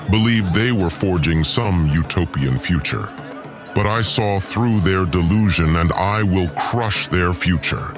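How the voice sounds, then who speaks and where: A man playing a vengeful, disdainful god lord in an audio drama, delivering a formal, pompous and menacing villain monologue. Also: sits low. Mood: disgusted, then menacing, bitter. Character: vengeful, disdainful male god lord plotting against humans.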